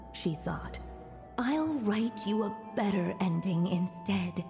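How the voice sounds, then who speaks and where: Solemn fairy tale narrator, lowish and slow, wistful, music playing behind. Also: female voice. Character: gentle, solemn woman storybook narrator.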